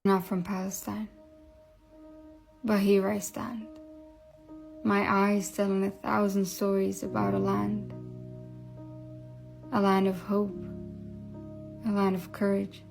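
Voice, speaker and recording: A woman recites a spoken-word poem in a slow, measured delivery with very little rise and fall. Her voice carries a gravelly fry, and clear music plays underneath the whole recording. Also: medium pitch, monotone, a little mellow. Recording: video with music bed.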